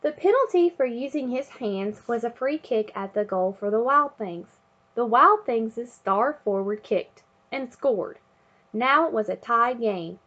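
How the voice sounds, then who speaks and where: A woman reading a children's picture book aloud in an expressive way, chanting refrains and sound words. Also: fairly high pitch, unhurried, highs cut off. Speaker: storybook reader.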